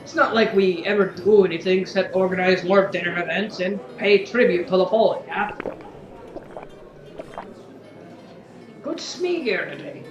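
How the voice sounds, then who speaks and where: A woman acting as a brash, scheming space officer in an audio drama. She talks in a flippant, slangy way at a medium female pitch. The sound is roomy and a bit dull, with music underneath. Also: lively intonation, a little fast, natural voice. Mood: breezy.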